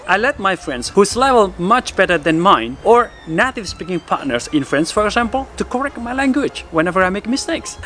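Indonesian guy hosting, fairly high voice, earnest and a bit formal, soft music under. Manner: earnest, explanatory, slightly formal English. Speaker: host. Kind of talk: talking to camera, then interviewing. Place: outdoors in a park, then indoors on a sofa.

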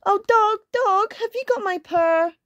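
A woman's voice: very high voice, a little slow, fairly bright tone, no room sound, muffled recording, voice pushed up. A woman voicing a tearful, anxious little kitten from a storybook, with a plaintive, wailing manner.

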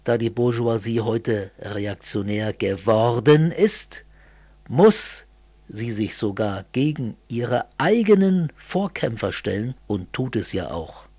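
A middle-aged man from East Germany speaking in a scripted political dialogue, didactic and deliberate. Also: medium pitch, animated, warm tone, husky, no room sound.

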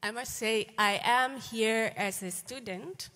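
A woman from Namibia, a student on a panel, introducing herself in a warm, slightly shy way. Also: a little high, takes it slowly. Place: large lecture hall stage. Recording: reverberant large hall.

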